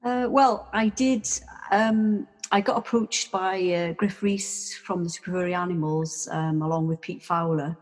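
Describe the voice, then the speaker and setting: A woman guest chatting casually, rambling with many restarts and fillers, her voice often trailing off softly. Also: fairly low pitch, fairly even intonation, unhurried, hardly any pauses, long reverb tail. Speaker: guest, textile artist. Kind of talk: casual chat.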